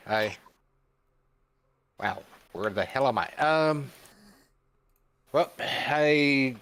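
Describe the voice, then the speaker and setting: Male podcast panelist, mid-range voice, halting casual talk. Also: mid-range voice, fairly bright tone. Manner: halting, informal. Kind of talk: casual chat.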